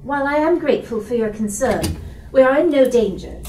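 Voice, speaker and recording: Woman playing a composed, sensible gentlewoman. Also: high voice, expressive, a little fast, a little hiss or hum. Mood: gently firm. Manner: composed, formal, coaxing. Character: sensible, polite young married gentlewoman, gently firm. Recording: roomy bookstore reading, distant mic.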